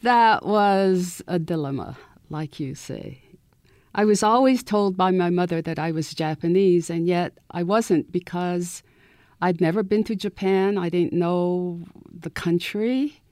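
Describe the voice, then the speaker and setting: A woman in her eighties from southern California, telling her story slowly and reflectively as a guest on a radio interview. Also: fairly low pitch, a little mellow, no echo, clean studio sound. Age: 80s. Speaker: author and guest.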